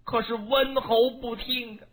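A storyteller voicing a young woman who sobs and pleads in a high, plaintive voice. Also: fairly even intonation, a little slow, close to an everyday voice, poor audio. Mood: weeping. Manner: sobbing, high plaintive wailing.

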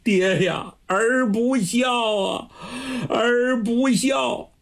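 A man's voice: sits high, expressive, slow, a bit bright, pitched above the performer's own voice, full character voice. A man voicing a remorseful grown son who cries out and pleads in tears, in a storytelling performance.

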